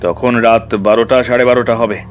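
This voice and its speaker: A man playing an officious police officer in an audio drama. His mid-pitched voice is stern and confrontational, quick to take offence, with music running underneath. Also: steady tone, close to an everyday voice. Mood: questioning, guarded. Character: stern, indignant male police officer, easily affronted. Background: background music.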